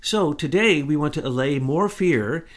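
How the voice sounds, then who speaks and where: A middle-aged man co-hosting a political commentary talk, talking fast and insistently. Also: a little high, animated. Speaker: co-host.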